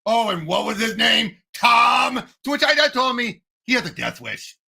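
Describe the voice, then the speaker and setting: A man co-hosting a casual movie discussion, excitable and animated as he retells and acts out quotes. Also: very high-pitched, normal speed, creaky, breathy edge. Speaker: movie podcast co-host.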